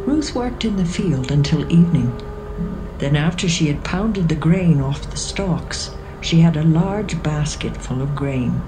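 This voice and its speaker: A woman's voice: low-pitched, expressive, a little slow, background noise. A woman narrating a scripted, dramatised Bible story. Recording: music behind the voice.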